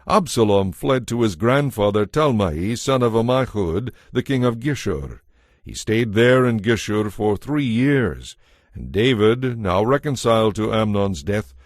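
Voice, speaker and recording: A man's voice: lowish voice, darkish tone, dry recording, studio quality. A man giving a solemn, scripted dramatic narration of scripture as storyteller.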